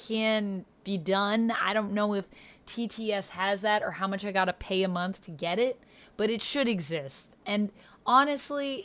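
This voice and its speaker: A woman's voice: medium pitch, a bit bright. A woman hosting a livestream, chatting informally, thinking aloud and reading on-screen text. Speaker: livestream host and player.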